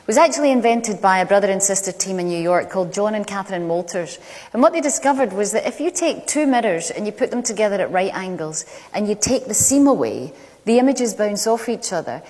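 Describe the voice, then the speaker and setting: A middle-aged woman with a Glaswegian Scottish accent gives a prepared stage talk, telling stories in a measured, conversational way with deliberate pauses. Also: medium pitch, darkish tone. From Glasgow, Scotland. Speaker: public speaker. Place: large indoor stage venue. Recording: echoey hall, long reverb.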